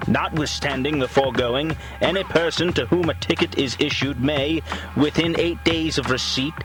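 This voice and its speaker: A man's voice: mid-range voice, rough recording. Pompous male narrator over music. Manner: grave, pompous, deliberate delivery. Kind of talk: mock-solemn documentary narration, oratorical speech. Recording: music behind the voice.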